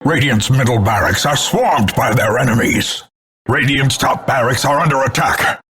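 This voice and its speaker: An actor playing a grim, scornful older male announcer in a video game, grandiloquent and portentous, with a gravelly, husky voice. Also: mid-range voice, moderate pace, fairly bright tone. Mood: urgent warning. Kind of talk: theatrical scripted game announcements.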